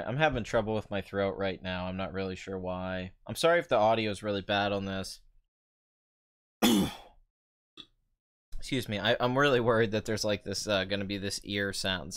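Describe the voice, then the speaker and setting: A man's voice: low voice, fairly bright tone, clean tone. A young man reviewing a film on a live stream in loose, rambling chat, his voice stuffy, nasal and a little trembly from a sore throat. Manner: rambling, many fillers and restarts. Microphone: desk mic with compression.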